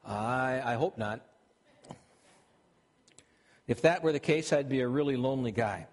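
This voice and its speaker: A man's voice: mid-range voice, unhurried. An older man from Minnesota with a Minnesotan accent, preaching a sermon in a conversational way with frequent restarts. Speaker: pastor. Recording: long hall reverb.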